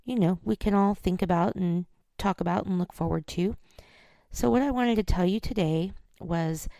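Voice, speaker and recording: A woman hosting a podcast solo, talking in a casual, chatty, self-deprecating way, her voice scratchy and hoarse. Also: lowish voice, dark tone, dry recording. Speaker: podcast host.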